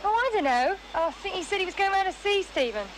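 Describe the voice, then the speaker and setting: A chatty, easygoing British mum in a vintage safety film. Her voice is high, over a clearly noisy old soundtrack with outdoor rumble. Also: animated, quick pace. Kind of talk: acted dialogue in 1970s public information film.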